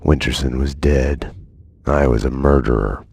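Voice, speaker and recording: Very deep, gravelly noir detective. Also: male voice, darkish tone, no echo, heavily acted. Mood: regretful. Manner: grim, deliberate, hard-boiled. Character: brooding, guilt-ridden noir detective narrating his downfall. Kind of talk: scripted noir game narration.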